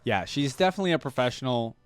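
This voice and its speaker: A man with a medium-pitched voice reacts like an excited fan, chatting casually and thinking aloud. Faint music sits behind his commentary. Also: rapid-fire. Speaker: reaction video host.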